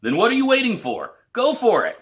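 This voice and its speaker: A man doing a demanding male drum teacher, pitched up, loud and sarcastic, barking out blunt orders. The voice is bright and swings widely in pitch as he pushes his student. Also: big pitch swings, moderate pace. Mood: shouting.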